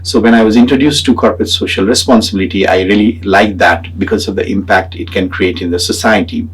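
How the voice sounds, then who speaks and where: A man from Nepal with a Nepali accent gives an explanatory academic talk. His voice is fairly low and husky. He speaks haltingly, stopping to find his words as he lays out his points. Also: fairly even intonation, poor audio. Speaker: PhD student and researcher. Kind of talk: semi-prepared explanatory talk about own research.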